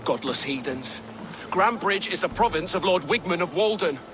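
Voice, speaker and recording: High-strung captive Saxon soldier. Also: male voice, lively intonation, normal speed, noisy background. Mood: defiant, cursing. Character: frightened, pious captive Saxon soldier, defiant then pleading. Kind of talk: video game cutscene acting.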